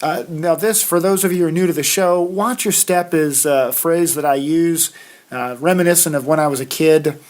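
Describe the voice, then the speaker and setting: A middle-aged man from Maine hosting a solo podcast, his voice raspy from a cold, talking in a folksy, conversational way with plenty of filler. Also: on the high side, normal speed. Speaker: podcast host, financial planner. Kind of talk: casual solo podcast talk.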